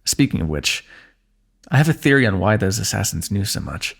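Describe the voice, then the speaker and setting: A man voicing a kingdom's ruler who gently chides and teases a wounded companion while tending his injury, in an intimate fantasy roleplay. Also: fairly low pitch, sing-song, brisk, creaky, breathy edge. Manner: gentle, chiding, teasing, close and intimate. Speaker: ASMR roleplay performer. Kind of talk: scripted fantasy ASMR roleplay.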